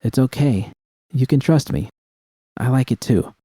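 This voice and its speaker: A man's voice: medium pitch, unhurried, dark tone, breathy edge, no room sound, studio quality, pitched above the performer's own voice. A man voicing a teasing, reassuring woman with a coaxing, seductive manner in an audiobook story. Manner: coaxing, purring.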